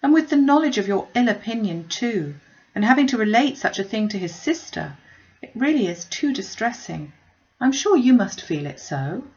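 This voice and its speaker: A woman's voice: fairly high pitch, very animated, normal speed, darkish tone, highs cut off, pitched above the performer's own voice. A woman voicing a kind-hearted, distressed young lady in a classic novel reading, with an earnest, plaintive way of exclaiming. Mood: shocked, distressed.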